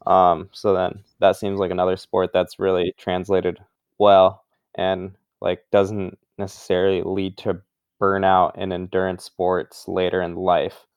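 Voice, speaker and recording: A man's voice: low voice, measured pace, clear, resonant tone, dry recording, studio quality. A young man from Brazil, a guest in a casual interview, talking loosely and filling his speech with "like" and "um". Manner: relaxed, loose, leans heavily on "like" and "um".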